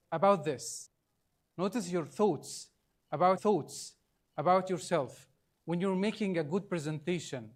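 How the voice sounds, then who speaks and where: Slow high-voiced trainer. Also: male voice, darkish tone. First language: Arabic. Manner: deliberate, coaxing, quizzes the audience often. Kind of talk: live training workshop.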